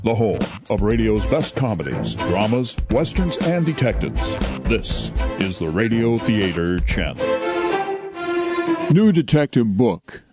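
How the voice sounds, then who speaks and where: A man's voice: fairly low pitch, big pitch swings, unhurried. An American man reading a scripted promotional announcement for radio programs. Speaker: channel announcer. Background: loud music.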